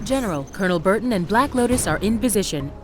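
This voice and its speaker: An actress playing a brisk, composed military briefing officer, reading scripted mission updates in a video game. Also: female voice, mid-range voice, expressive, over loud music, lightly acted. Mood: composed, official.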